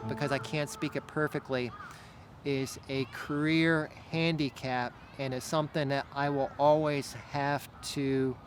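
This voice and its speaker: An American-born man speaking in an interview, thoughtful and a little hesitant, pausing on fillers. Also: on the high side. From United States. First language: English. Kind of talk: reflective interview.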